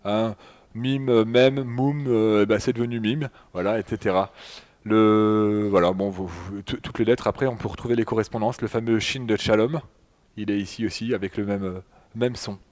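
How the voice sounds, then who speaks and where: A man's voice: on the low side, steady tone, normal speed, darkish tone. A man giving a chatty public lecture, often speaking directly to the audience. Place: public hall.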